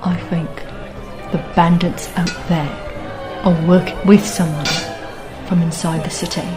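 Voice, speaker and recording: A woman's voice: lowish voice, steady tone, measured pace, close to an everyday voice. A woman playing a shrewd, gossipy tavern keeper in a fantasy audio drama, talking in a colloquial, conspiratorial way. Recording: music and tavern crowd behind the voice. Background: music, tavern crowd.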